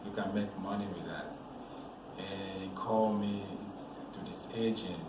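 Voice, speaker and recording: A man from Cameroon, a model telling his life story in a relaxed, rambling way as an interview guest. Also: on the low side, unhurried. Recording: echoey room, voice from a distance, noisy background.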